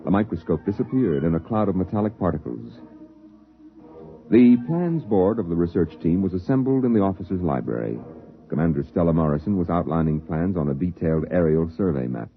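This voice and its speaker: A man's voice: deep voice, sing-song, fairly quick, creaky, soft background music, full character voice. A man delivering grave scripted narration as the narrator of a 1950s science fiction radio play. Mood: grave, measured. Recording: dull lo-fi 1950s radio recording.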